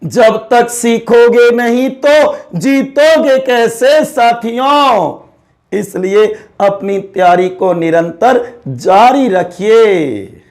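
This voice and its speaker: A man with a fairly high voice delivers an exam-prep civics lesson. He repeats each key term several times and keeps asking his students whether they follow. Near the end he slows into drawn-out, emphatic slogans. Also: animated. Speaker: teacher.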